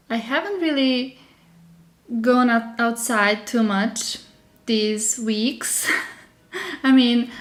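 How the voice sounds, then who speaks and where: A woman from Serbia with a Serbian accent, slightly under the weather, chatting casually and haltingly as she hosts a live tutorial. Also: fairly high pitch. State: slightly unwell with a sore throat. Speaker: digital journaling creator hosting a live stream.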